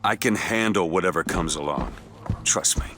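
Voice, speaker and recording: An actor playing a gruff, weary middle-aged ex-detective in a video game, talking bluntly and sardonically. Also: male voice, sits low, moderate pace, close to an everyday voice. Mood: dismissive. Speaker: game protagonist. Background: game music.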